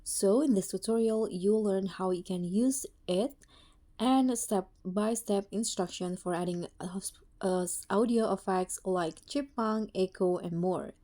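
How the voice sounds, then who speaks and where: Female tutorial narrator: unhurried, relaxed tone, somewhat halting, casual instructional read. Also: mid-range voice. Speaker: tutorial host.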